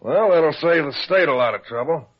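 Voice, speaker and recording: Puzzled, animated radio cop. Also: male voice, a little high, normal speed. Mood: baffled. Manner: animated, puzzled, plain-spoken. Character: slow-witted, easily astonished male cop sidekick. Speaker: supporting actor. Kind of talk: old-time radio drama acting. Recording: dull, lo-fi old radio recording.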